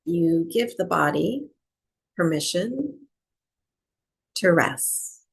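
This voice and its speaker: Slow, low-voiced woman guiding meditation. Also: expressive, vocal fry, husky, rough recording. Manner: unhurried, with long pauses between short phrases. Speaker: breathwork and yoga nidra teacher. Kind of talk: guided meditation, slow soothing instruction.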